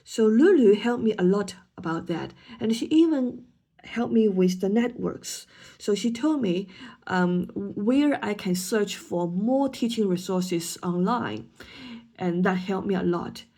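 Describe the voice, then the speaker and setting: A woman's voice: mid-range voice, lively intonation, measured pace, mellow. A woman whose first language is Chinese, a student teacher giving a hesitant class presentation with frequent ums and restarts.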